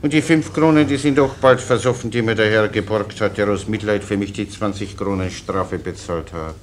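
An actor playing a cheerful, long-winded common soldier in a radio play, obsequious and blandly innocent. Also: male voice, on the low side, normal speed, slight background noise, natural voice. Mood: chummy, tipsy. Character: rambling, cheerful Czech common soldier, slyly naive.

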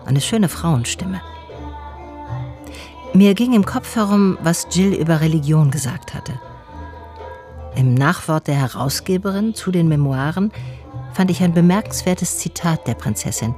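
An actress playing a calm, thoughtful narrator and friend in a radio drama, speaking in a measured, reflective way. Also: female voice, lowish voice, soft background music. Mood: pensive. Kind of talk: literary first-person narration in radio drama.

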